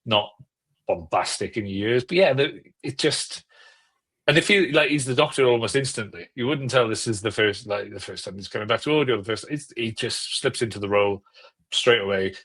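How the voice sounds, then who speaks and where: A man's voice: on the low side, normal speed, fairly bright tone. A man on a podcast panel, chatting casually and enthusiastically, rephrasing as he goes.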